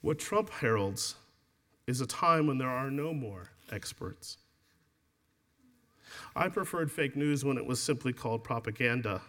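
A man, an author, reading his book aloud to an audience in a measured, deliberate way, then answering questions. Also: mid-range voice, expressive, sharp tone. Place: event space with an audience.

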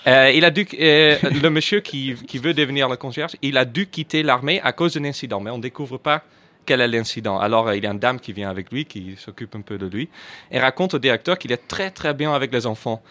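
A man's voice: mid-range voice, a little fast, bright, forceful tone. A man from the Netherlands speaking French with a Dutch accent, chatting playfully on a talk show with frequent fillers and laughs. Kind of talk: chatty radio column.

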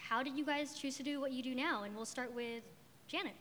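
Bright upbeat woman moderating in a hall. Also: sits high, a little fast. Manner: upbeat, laughing asides. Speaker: panel moderator and podcast host. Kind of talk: moderating a live panel. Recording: reverberant hall.

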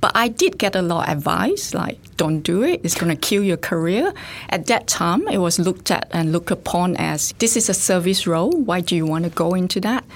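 Gravelly low-voiced woman from Singapore. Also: expressive, normal speed. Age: middle-aged. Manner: thoughtful, many fillers and restarts. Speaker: guest scientist, facility director. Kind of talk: casual interview chat.

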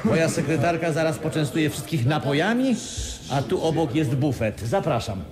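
An actor playing an evasive, commanding mine owner who speaks formally and emphatically in a radio play. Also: male voice, fairly high pitch, lively intonation, moderate pace, crowd noise, close to an everyday voice. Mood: smooth, menacing courtesy. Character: evasive, commanding male mine owner hosting a press conference. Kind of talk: 1980s Polish radio play acting.

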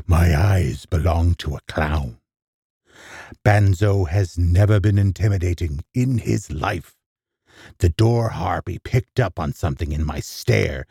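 A man giving a theatrical first-person horror narration with a gravelly, husky voice, dropping into hushed asides. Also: low voice, dry recording, studio quality. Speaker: storyteller.

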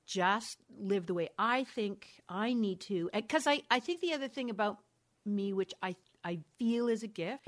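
Candid middle-aged woman reflecting aloud. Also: mid-range voice. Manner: candid, thoughtful, frequent self-corrections and laughs. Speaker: interview guest. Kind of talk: reflective conversational interview.

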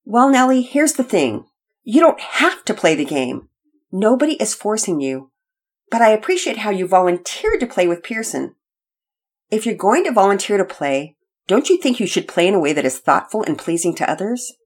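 A woman voicing a gentle, patient father reasoning with his children in a storybook. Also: medium pitch, lively intonation, moderate pace, husky, pitched above the performer's own voice.

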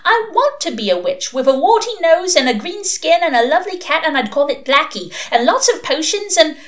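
A woman voicing a stubborn, indignant six-year-old girl in a storybook, with a shrill childish tone. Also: sing-song, a little fast. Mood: whiny, defiant.

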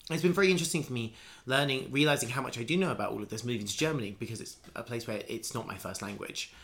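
A man's voice: mid-range voice, very fast, a bit bright. A man in casual conversation, musing at length with many restarts and fillers. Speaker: conversation partner.

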